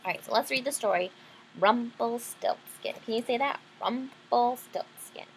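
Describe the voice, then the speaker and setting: A woman with a fairly high voice reads a picture book aloud as a teacher would for small children. She explains things in simple words and often checks in with questions. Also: moderate pace, a little hiss or hum, rough recording.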